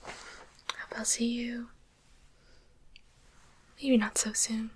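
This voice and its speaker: A woman playing a kindly female demon physician in an ASMR roleplay, speaking in a breathy, soothing whisper with a courteous bedside manner. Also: a little high, level tone, drawn-out words, a little hiss or hum. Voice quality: breathy, mostly unvoiced whisper. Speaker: physician giving an exam.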